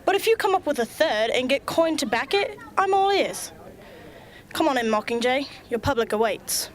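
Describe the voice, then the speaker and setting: A woman's voice: sits high, sing-song, normal speed. A woman playing a tough, dryly sarcastic rebel field commander in an amateur radio drama. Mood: dry, sardonic. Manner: dry, sarcastic.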